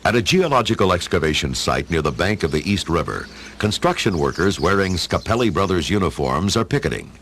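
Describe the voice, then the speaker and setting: A man giving dramatic, measured scripted narration for an audio story, in a theatrical storytelling manner. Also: deep voice, very animated, a bit bright, a little hiss or hum. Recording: music under the narration. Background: music.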